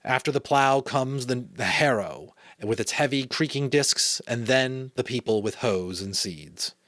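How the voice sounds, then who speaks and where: A man with an American accent reading a storybook as an amateur narrator, with expressive phrasing. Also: mid-range voice, unhurried, dry recording. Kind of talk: dramatic storybook reading with casual asides.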